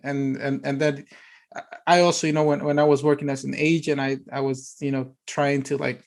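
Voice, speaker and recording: A man's voice: mid-range voice, flat delivery, brisk, creaky, darkish tone. A man hosting a podcast, asking questions in a rambling way with many restarts. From United States. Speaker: podcast host, former player agent. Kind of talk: casual interview conversation.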